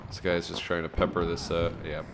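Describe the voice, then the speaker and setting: A deep-voiced man with a gravelly edge commentates a strategy game, excitable and quick to laugh. His voice is stuffed-up and nasal, and a steady hiss sits under the home recording. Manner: excitable, fast reactions and laughs. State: nasal from allergies. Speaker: gameplay commentator. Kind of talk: casting a strategy game match.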